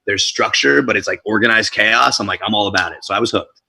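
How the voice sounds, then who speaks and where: A man's voice: lowish voice, fast, vocal fry, husky, no room sound, poor audio. A young man born in Colombia and raised in New Jersey, talking casually and energetically as a DJ and MC guest in an interview. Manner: energetic, talkative, street-casual.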